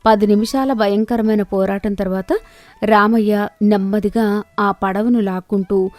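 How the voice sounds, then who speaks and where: A woman narrating a children's moral story in a steady, clear storytelling manner. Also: mid-range voice, measured pace, no echo.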